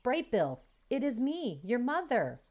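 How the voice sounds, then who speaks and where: Woman voicing a gentle robot mother, calm, earnest, storybook reading. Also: on the high side, unhurried, muffled recording, pitched above the performer's own voice. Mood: tender.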